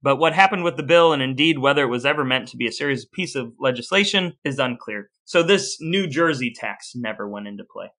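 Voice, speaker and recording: A man's voice: medium pitch, expressive, fairly quick, hardly any pauses, bright, forceful tone. A man co-hosting a podcast, chatting and presenting from partly scripted notes. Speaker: podcast co-host.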